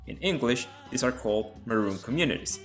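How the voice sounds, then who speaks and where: A man, a native Spanish speaker, narrating and explaining song lyrics as a host in a measured tone. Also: mid-range voice, animated, fairly bright tone, faint music behind. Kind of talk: scripted narration.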